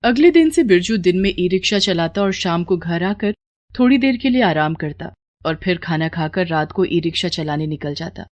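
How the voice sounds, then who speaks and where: A woman's voice: fairly low pitch, animated, normal speed, dull audio. A woman narrating a scripted moral story.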